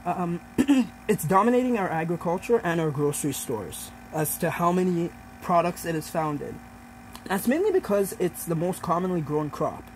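A man's voice: high voice, animated, normal speed, slight background noise, poor audio. A young man giving an informal, explanatory student presentation in a hesitant way, feeling out his points as he talks. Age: young adult.

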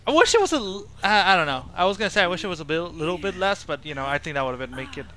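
A man's voice: a little high, racing, bright, forceful tone, a little hiss or hum. A young man giving live gameplay commentary as a streamer, muttering to himself in short fragments. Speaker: game streamer. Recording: game music and sounds behind. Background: horror game music and effects.

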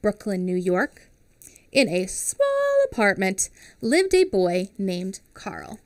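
Animated woman reading a picture book. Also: mid-range voice, slow, no room sound, studio quality. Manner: expressive, asks children questions. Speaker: teacher reading aloud. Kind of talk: children's picture book read-aloud. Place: small home studio with classroom backdrop. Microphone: headset mic.

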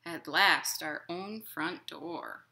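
A woman in her thirties hosting a storytime for young children at home, expressive and encouraging in simple words. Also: sits low, slow, vocal fry. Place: living room at home.

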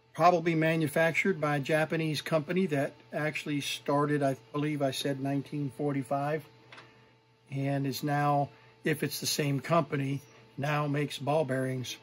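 A middle-aged man presenting from home, showing vintage gear in an unscripted, halting, informal and appreciative way. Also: a little high, a little hiss or hum. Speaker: vintage tape recorder collector and presenter. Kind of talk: unscripted hands-on demonstration.

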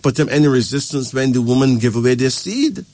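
A man's voice: medium pitch, animated, a little fast, a little mellow, no echo. A man from Barbados, giving advice in a lecturing way and often checking in with "right?" or "okay?". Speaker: spiritual advisor. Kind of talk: casual advice talk.

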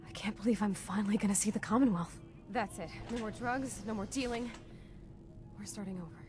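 A woman's voice: medium pitch, fairly quick, darkish tone, soft background music, lightly acted. A woman playing a young vault dweller itching to leave, in casual, yearning video game dialogue. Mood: hopeful.

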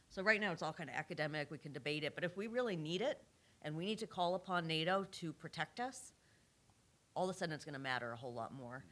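Low-voiced woman panelist. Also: fairly bright tone. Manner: measured, many fillers and hedges. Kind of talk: panel discussion remarks. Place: large conference room with audience.

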